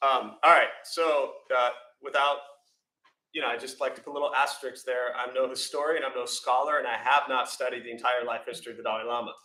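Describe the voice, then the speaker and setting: A man's voice: medium pitch, steady tone, fairly quick, bright, forceful tone. An American man giving a casual meditation talk, self-deprecating and rambling with fillers. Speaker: meditation teacher. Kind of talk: informal dharma talk with questions. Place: temple meditation hall. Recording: echoey room.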